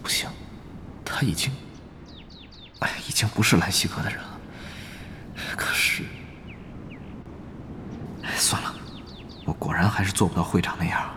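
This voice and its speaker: A man acting a soft-hearted, conflicted guild officer in a Chinese radio drama, with a hesitant manner. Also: low voice, measured pace, pauses often, in a whisper. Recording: background music under the voice.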